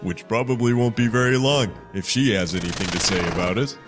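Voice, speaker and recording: A man's voice: medium pitch, a little fast, lightly acted. A man acting a wry, taunting guardian. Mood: grimly defiant. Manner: sardonic, teasing. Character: wry, taunting male guardian, grimly resigned, loves stalling. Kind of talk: dramatic fan-dub acting with narration. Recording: loud music behind the voice.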